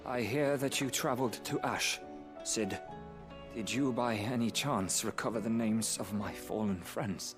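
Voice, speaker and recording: An actor playing a grieving, earnest survivor of a cruel orphanage in a video game, speaking in a solemn, formal way. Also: male voice, lowish voice, over music, close to an everyday voice. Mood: solemn, polite. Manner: solemn, formal, measured speech. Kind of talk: dramatic video game acting.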